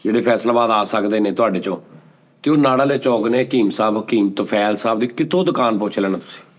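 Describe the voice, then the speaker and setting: A man telling funny stories in a casual chat, animated and quick to act out quotes. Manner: animated, jumps into high-pitched acted quotes. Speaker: storyteller guest.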